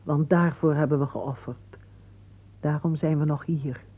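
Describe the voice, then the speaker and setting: Dutiful dead soldier: highish voice, measured and slow, earnest radio drama tone. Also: male voice, fairly even intonation, slight background noise. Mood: earnest, consoling. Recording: thin phone-like old radio recording.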